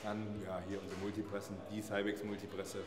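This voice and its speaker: A man's voice: lowish voice, little pitch movement, fairly quick. A man, chatting casually and colloquially as he gives a gym tour. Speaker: gym owner giving a tour. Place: indoor gym rooms. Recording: echoey hall-like rooms, music underneath. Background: music.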